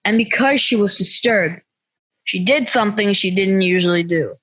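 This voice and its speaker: A woman narrating a suspense story as a measured storyteller, husky, in a dry, slightly dull recording. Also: mid-range voice, fairly bright tone, dry recording.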